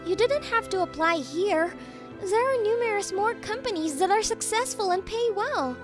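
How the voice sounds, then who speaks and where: A woman's voice: very high voice, lively intonation, normal speed, music in the background. A woman voicing a bossy, teasing young female boss and jilted ex in an anime-style manga dub, commanding and needling. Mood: stern, needling.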